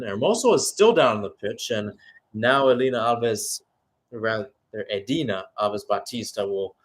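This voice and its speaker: A man giving live radio-style football play-by-play, mixing calls of the action with conversational analysis, hesitations and self-corrections. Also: medium pitch. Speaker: play-by-play commentator.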